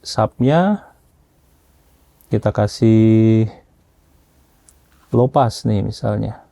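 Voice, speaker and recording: A man's voice: fairly low pitch, very slow, vocal fry, warm tone, no echo. A man casually explaining technical matters as an expert, often checking understanding with short tag questions. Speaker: audio system engineer being interviewed as an expert.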